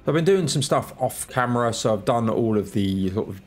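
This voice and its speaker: A man's voice: medium pitch, expressive, fairly quick, faint music behind. A man hosting a casual let's-play, congested from a cold, talking in a laid-back, muttering, self-deprecating way into a desk microphone. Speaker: gaming channel host. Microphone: desk microphone, off camera.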